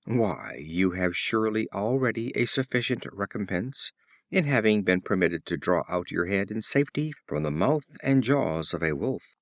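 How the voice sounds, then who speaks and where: A man reading a storybook voices a sly, taunting wolf who talks smoothly with false friendliness. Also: on the low side, expressive, moderate pace, no room sound, clean studio sound. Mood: mocking.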